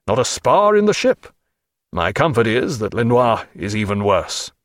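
A man voicing a tired, curt naval captain in an audiobook, speaking low and clipped. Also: big pitch swings, moderate pace, a bit bright, dry recording, clean studio sound. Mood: weary. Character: weary, curt middle-aged naval captain.

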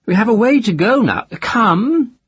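A man's voice: high voice, very animated, a little slow, slightly rough, no room sound, pitched above the performer's own voice, exaggerated voice. A man voicing a cruel, mocking male captor who barks orders and sneers. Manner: sneering, commanding.

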